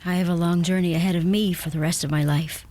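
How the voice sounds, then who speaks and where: A woman playing a gentle American newcomer to rural Ireland in a radio play. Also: fairly low pitch, fairly even intonation, fast, close to an everyday voice. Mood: wistful. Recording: noisy radio play, sheep sounds behind.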